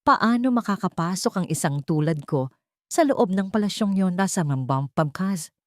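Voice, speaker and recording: Female story narrator, lowish register, solemn and measured, scripted first-person drama, dry studio-like sound. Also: lively intonation, no room sound.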